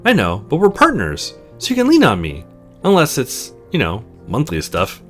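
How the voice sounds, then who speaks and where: Man reading as a teasing, animated young man. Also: medium pitch, normal speed, faint music behind. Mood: teasing concern. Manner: casual, blunt, teasing. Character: supportive, teasing young man, business partner and brother.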